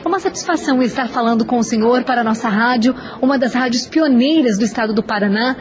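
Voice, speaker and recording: A woman's voice: a little high, fast talker, highs cut off. Woman radio host, crowd murmuring behind. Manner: steady, formal questioning with frequent tag "né". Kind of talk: radio interview.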